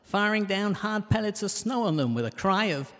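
A man playing a cheeky, self-mocking detective who narrates a comic audio drama in rhyme, landing puns deadpan. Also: high-pitched, moderate pace. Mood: wry storytelling. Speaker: narrator and lead character. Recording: reverberant hall sound.

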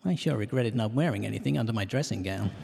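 A man's voice: fairly low pitch, quick pace, gravelly, very noisy. Man narrating comic verse, reverberant. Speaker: narrator of a comic detective podcast. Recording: long reverberant hall echo.

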